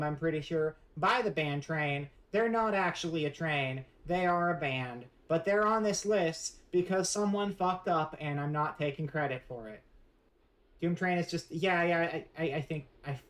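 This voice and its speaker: A woman's voice: low-pitched, normal speed. A woman streamer giving a casual live-stream presentation, rambling and joking in a playfully mock-serious way.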